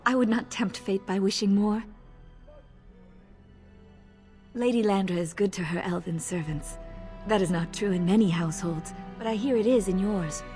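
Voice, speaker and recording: A woman playing a modest, gentle elven lady-in-waiting in a video game, speaking politely and deferentially. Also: mid-range voice, a little fast, lightly acted. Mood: modest, tender. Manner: polite, deferential, soft-spoken. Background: music.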